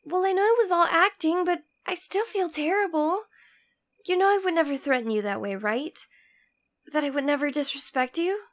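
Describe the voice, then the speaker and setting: A woman voicing a demon queen in a scripted ASMR roleplay, speaking in a theatrical, intimate and deliberate manner. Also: very high voice. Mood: tender, concerned. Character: demon queen, imperious and possessive, secretly tender lover.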